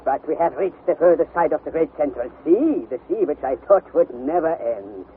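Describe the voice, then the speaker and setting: A man acting a jovial, pompous older professor in an old radio play. His high voice swoops with hearty exclamations and stubborn confidence. The sound is thin and phone-like. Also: animated, moderate pace, close to an everyday voice. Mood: confident. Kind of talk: vintage radio drama acting. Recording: thin, faintly noisy vintage radio recording.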